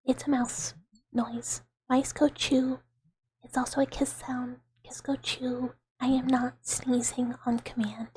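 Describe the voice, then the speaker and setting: Breathy whispering young woman streamer. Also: flat delivery, slow, rough recording. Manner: soft whispering, giggly, playful squeaky outbursts. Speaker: VTuber streamer. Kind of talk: whispered ASMR livestream chat.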